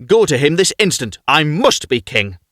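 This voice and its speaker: A man's voice: on the high side, sing-song, moderate pace, fairly bright tone, no echo, clean studio sound. A man voicing a bossy, greedy wife in a storybook tale, demanding and commanding. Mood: imperious.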